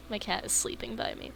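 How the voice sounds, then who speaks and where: A woman streaming a game and chatting casually, sleepy and yawning, who laughs often and swears casually. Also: a little hiss or hum. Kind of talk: casual live-stream chat.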